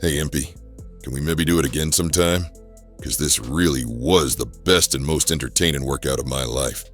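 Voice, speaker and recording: Very deep, gruff New rock brute. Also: male voice, moderate pace, faint music behind. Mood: relieved, friendly. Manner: folksy tough-guy slang, cocky and joking. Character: gruff, wisecracking rock-skinned superhero brawler, big and good-hearted.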